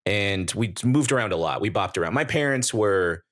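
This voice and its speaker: Relaxed, low-voiced man in his forties. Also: normal speed. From American Samoa. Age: 40s. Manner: relaxed storytelling, joking asides. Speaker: guest, YouTuber. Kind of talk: casual interview chat.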